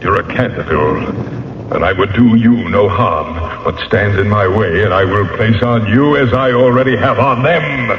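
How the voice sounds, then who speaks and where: A man's voice: on the low side, normal speed, lightly acted. An actor playing a vengeful, anguished ghost of a nobleman in a 1980s radio drama, theatrical and archaic in his speech. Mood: threatening. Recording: dull old radio recording, cavernous reverb, hiss.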